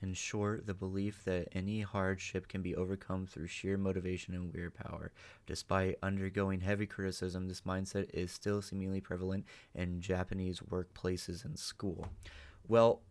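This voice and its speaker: A man's voice: low-pitched, little pitch movement, normal speed, a little mellow, smooth. A man hosting a reaction video, speaking off the cuff in an emphatic, opinionated, rambling way. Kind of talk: unscripted reaction commentary.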